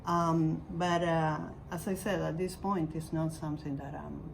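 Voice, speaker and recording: Very low-voiced reflective woman. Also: steady tone, measured pace, vocal fry, a little hiss or hum, poor audio. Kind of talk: personal account.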